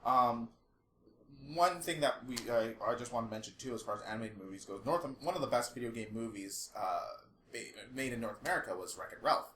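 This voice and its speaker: Male podcast co-host, mid pitch, enthusiastic rambler, chatting casually in a roomy space. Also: lively intonation, brisk, a bit bright. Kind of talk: casual group chat. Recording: echoey room, faint background noise.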